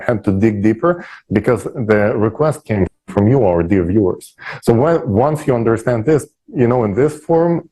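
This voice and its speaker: Low, husky-voiced man, mellow delivery. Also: poor audio. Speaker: speaker on an online discussion panel. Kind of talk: persuasive discussion talk.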